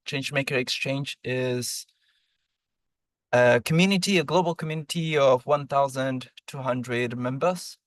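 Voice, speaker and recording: A man from Argentina, a program lead, answering interview questions in a measured way with some ums. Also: mid-range voice, no echo.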